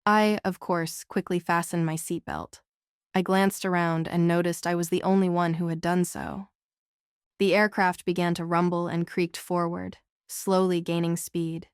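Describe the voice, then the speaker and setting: A woman's voice: lowish voice, clear, resonant tone, no echo, clean studio sound. A woman reading scripted first-person novel narration as an audiobook narrator.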